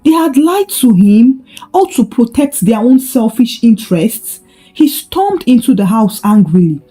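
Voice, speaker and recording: A woman tells a scripted folktale in a dramatic style. Her voice is mellow with widely varied pitch, and music plays behind her. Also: sing-song, measured pace, low-quality recording. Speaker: storyteller.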